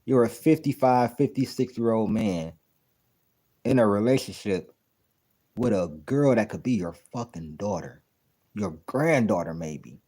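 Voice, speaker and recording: 30s guy ranting over a clip, low mellow voice, cussing and repeating himself. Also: lively intonation, a little slow. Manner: blunt, profane and incredulous, repeating points. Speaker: reaction video host. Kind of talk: casual commentary reacting to a clip.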